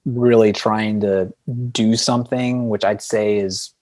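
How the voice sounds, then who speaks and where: A man's voice: lowish voice, steady tone, takes it slowly, darkish tone. A man speaking as an interview guest in a casual, rambling and reflective way, with a self-deprecating streak. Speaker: podcast guest, indie band frontman.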